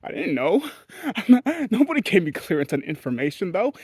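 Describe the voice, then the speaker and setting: Guy from haiti ranting on his vlog, kinda high voice, swears a lot, jumps all over, dry close sound. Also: sing-song, moderate pace, a little mellow, slightly rough, no echo. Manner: blunt, profane and rambling, with sudden jumps in pitch. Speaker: vlogger. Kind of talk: candid ranting monologue.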